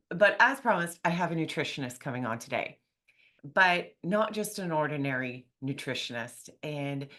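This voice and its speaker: Low-voiced excited woman podcast host. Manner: excited, chatty, many fillers. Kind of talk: enthusiastic podcast intro and interview.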